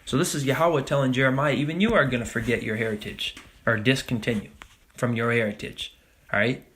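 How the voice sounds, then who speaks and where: A man teaching a Bible study, talking casually with frequent "all right?" and reading scripture passages slowly and deliberately. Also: medium pitch, a bit bright, a little hiss or hum. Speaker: religious teacher.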